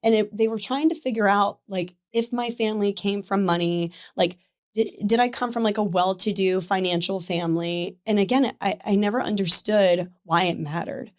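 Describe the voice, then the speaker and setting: Woman, thirties, animated chatty storyteller, lots of fillers. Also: medium pitch, moderate pace. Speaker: podcast guest. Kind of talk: casual storytelling conversation.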